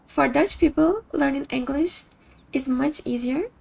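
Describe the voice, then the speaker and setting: Brazilian woman, high gravelly voice. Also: measured pace, darkish tone. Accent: Brazilian Portuguese. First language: Portuguese. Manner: thoughtful, restarts sentences while searching for words. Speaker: streamer. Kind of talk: casual chat with stream viewers.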